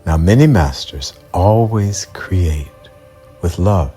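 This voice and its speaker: A man narrating a bedtime story for children as a guide, in a measured, deliberate delivery with pauses mid-sentence. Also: deep voice, expressive, warm tone, breathy edge. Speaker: bedtime storyteller and mindset coach. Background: background music.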